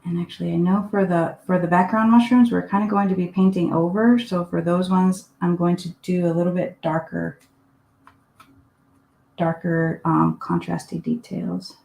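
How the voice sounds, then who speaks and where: Woman from finland chatting thru a painting demo at her desk, kinda low voice, echoey room. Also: steady tone, normal speed, creaky, warm tone. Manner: casual, chatty, thinking aloud while painting. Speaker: art teacher. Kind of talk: live painting demonstration. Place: home desk. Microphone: live online class setup. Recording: roomy echo.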